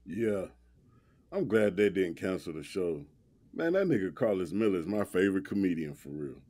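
Actor as big, smooth-talking young American man on a date, dark husky tone, attentive, audio drama. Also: low voice, big pitch swings, moderate pace. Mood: casual, amused.

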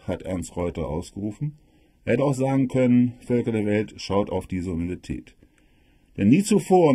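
A man with a husky voice narrating history like a lecturer, in an emphatic storytelling manner with rhetorical questions and dramatic pauses. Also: mid-range voice, warm tone, dry recording. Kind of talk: spoken history lecture for an audio production.

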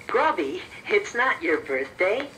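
Gentle, high-voiced talking teddy bear, lively intonation. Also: male voice, slow pace, far from the mic, slight background noise, exaggerated voice. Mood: patient, instructive. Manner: patient, explanatory, reassuring. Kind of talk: children's educational audio story acting. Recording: children's cassette tape.

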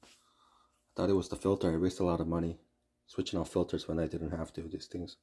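A man with a low, husky voice talks through a furnace repair in a basement, stopping and restarting as he points things out. His tone is dark and mellow, picked up close on a phone camera. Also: level tone. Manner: halting, many fillers and restarts, explains while pointing. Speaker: homeowner showing a furnace repair. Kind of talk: casual how-to video. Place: indoor basement utility room. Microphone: phone camera held close.

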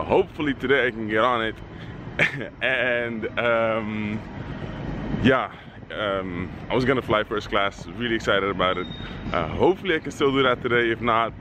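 A man's voice: lowish voice, noisy background. A young man in his twenties talking casually to a handheld camera, playful and teasing. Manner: playful, teasing, excitable bursts. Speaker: vlogger. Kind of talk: casual vlog chat. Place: outdoors on a city street and in an airport hall. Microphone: handheld camera at arm's length.

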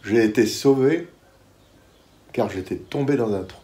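A man in his sixties recalling his years as a war cameraman in a casual, lively storytelling way, talking at home in his living room. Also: mid-range voice. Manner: lively storytelling, quick bursts. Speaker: former war cameraman recounting. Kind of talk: casual spoken recollection.

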